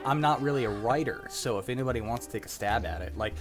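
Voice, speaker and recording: A man's voice: medium pitch, quick pace, over music. A young man hosting a livestream, chatting casually in a rambling, enthusiastic way. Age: young adult. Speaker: host running a livestreamed script-reading show. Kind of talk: casual group chat.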